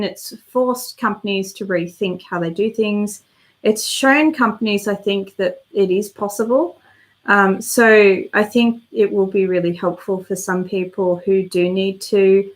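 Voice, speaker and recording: A woman's voice: medium pitch, fairly bright tone. A woman hosting a casual live stream and answering viewer comments, chatty and meandering as she thinks aloud, slightly congested with a worn voice.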